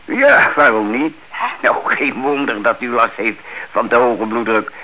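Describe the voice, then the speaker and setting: A man's voice: medium pitch, unhurried, pushed and bright. An actor playing an affable, drily humorous family doctor who hems as he talks, in a radio drama. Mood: dryly amused. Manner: hemming, affable, dry. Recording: dull old radio recording, faint noise.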